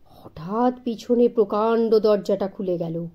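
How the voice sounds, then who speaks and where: A woman narrating a children's story in an expressive, storybook style. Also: medium pitch, unhurried, dull audio.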